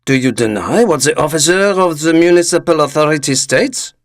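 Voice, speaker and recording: A man's voice: on the high side, a little fast, no room sound, studio quality, natural voice. An actor playing a pompous, amused male village mayor who questions people incredulously in an audio drama of a short story. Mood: stern.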